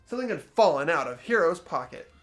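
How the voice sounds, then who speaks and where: Animated young man narrating a game. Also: fairly high pitch, fast. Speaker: host and narrator of a game playthrough. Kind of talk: expressive scripted game narration with casual commentary. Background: soft game music.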